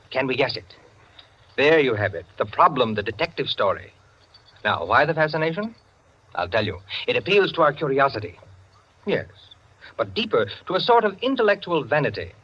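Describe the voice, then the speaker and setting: An actor playing an opinionated, self-satisfied clubman who lectures his friends in theatrical 1940s radio style, with savored pauses. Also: medium pitch, husky. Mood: smug, lecturing. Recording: dull, band-limited 1940s radio recording.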